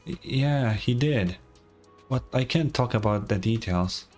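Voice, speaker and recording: A man's voice: medium pitch, unhurried, darkish tone, faint music behind, close to an everyday voice. A man voicing a shy, insecure, sickly boy from a game, hesitant and stammering as he talks. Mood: downcast, hesitant. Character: shy, insecure sick boy, easily flustered.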